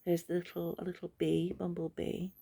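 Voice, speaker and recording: A middle-aged English woman with hay fever, softly narrating a casual home tour, often half-whispering. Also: on the low side, monotone, normal speed, vocal fry, slightly rough. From England. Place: cottage bedroom, indoors.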